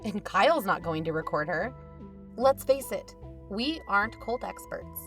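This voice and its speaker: A woman's voice: mid-range voice, lively intonation, unhurried, far from the mic, faint music behind, lightly acted. An actress playing an outspoken, exasperated investigator who urges her colleagues bluntly in a naturalistic audio drama. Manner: blunt, urging.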